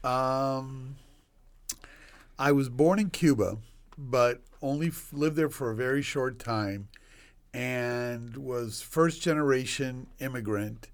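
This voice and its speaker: A man's voice: mid-range voice, takes it slowly. A man in his sixties from Northern Virginia, a doctor telling his life story as a guest in a casual interview, rambling with many restarts and self-deprecating humor. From Northern Virginia, United States. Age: 60s. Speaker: guest, obstetrician.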